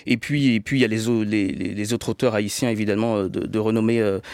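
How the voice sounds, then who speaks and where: A man's voice: fairly low pitch, steady tone, fast talker, darkish tone, no room sound, clean studio sound. A man from French Guiana, a radio journalist, talking thoughtfully as a guest on a radio talk show, with many hesitations.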